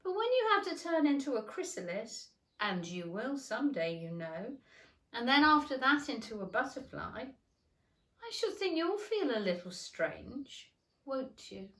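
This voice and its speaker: A woman acts out a polite, flustered little girl from a children's storybook. The voice is high and hesitant, with an earnest lilt that swoops up and down. The room echoes around it. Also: big pitch swings, measured pace, a bit bright, far from the mic, full character voice. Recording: roomy, echoing indoor sound.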